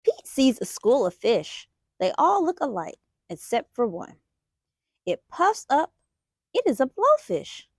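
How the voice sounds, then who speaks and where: Female children's read-aloud host, highish and slightly husky voice, expressive, question-asking delivery, close dry sound. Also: unhurried, dry recording. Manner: expressive, asks young listeners questions. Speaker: children's storytime host and reader.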